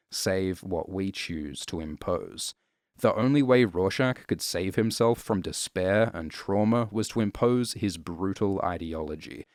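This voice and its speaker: A man delivers scripted explanatory narration in a low-pitched voice. His manner is deadpan and wry, slipping in casual asides. The recording is close and dry, with no room sound. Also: no room sound. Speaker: host and narrator of explainer videos.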